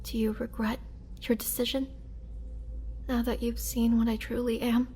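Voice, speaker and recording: A woman playing a gentle, remorseful young vampire in an intimate roleplay audio drama, speaking softly and earnestly with halting, sometimes whispered delivery. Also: fairly high pitch, level tone, a little hiss or hum, lightly acted. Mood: remorseful, anguished.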